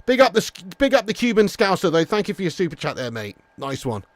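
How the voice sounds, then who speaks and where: Male football stream host: high-pitched, matey and sweary, bantering with chat, close dry sound. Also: very animated, fast, no room sound. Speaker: livestream host of a football watch-along. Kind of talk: casual reactive match commentary and chat.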